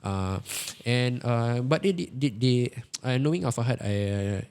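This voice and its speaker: A man's voice: medium pitch, expressive, measured pace, a little mellow, smooth. A man, co-hosting a podcast and talking in a casual, rambling way with frequent hesitations. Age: 20s. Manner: rambling, laughing, hesitant self-introduction. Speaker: podcast co-host. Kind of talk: casual chat.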